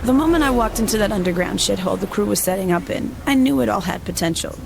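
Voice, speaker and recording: A woman's voice: mid-range voice, animated, fast, vocal fry. An actress playing a brash, hard-partying young gang woman, cocky and flippant, in a video game audio log. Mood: cocky, dismissive. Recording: noisy game capture.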